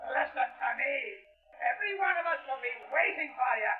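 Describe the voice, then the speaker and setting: An actor playing a burly, defiant sea captain in a 1940s radio drama, shouting bitter accusations in a declamatory manner. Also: male voice, very high voice, expressive, brisk. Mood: enraged. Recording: tinny, distant old radio recording with music.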